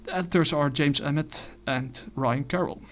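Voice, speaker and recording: A man casually reviewing a comic book, talking in a halting way with many fillers and restarts. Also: on the high side, fairly bright tone, a little hiss or hum.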